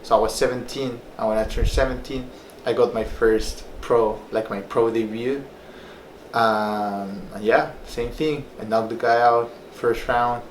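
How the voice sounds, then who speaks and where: A man's voice: medium pitch, steady tone, a little slow, reverberant, a little hiss or hum, rough recording. A young man from Peru, a personal trainer telling his life story in a loose, rambling way, full of fillers. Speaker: personal trainer and former fighter. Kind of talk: casual personal story to camera.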